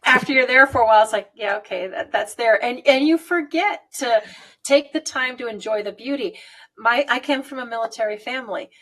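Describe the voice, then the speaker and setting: A woman's voice: on the high side, a little fast, fairly bright tone, poor audio. Rambling American woman in her fifties. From United States. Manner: rambling, laughs often. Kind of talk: casual chat.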